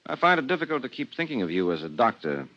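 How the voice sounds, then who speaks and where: A man's voice: medium pitch, very animated, quick pace, pushed and bright. An actor playing a dry, sardonic policeman husband who asks probing questions in a 1950s radio drama. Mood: wry, suspicious. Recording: muffled 1950s radio recording.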